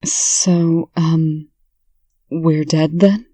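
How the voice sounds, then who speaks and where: Lady acting a slow mellow weak woman patient, testy and dry witted, bit muffled, comic dub. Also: deep voice, fairly even intonation, dry recording, full character voice. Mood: dazed.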